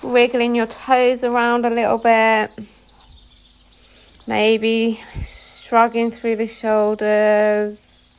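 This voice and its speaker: A woman leading a guided meditation as a yoga nidra instructor, giving soothing instructions phrase by phrase with pauses. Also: fairly high pitch, monotone, smooth.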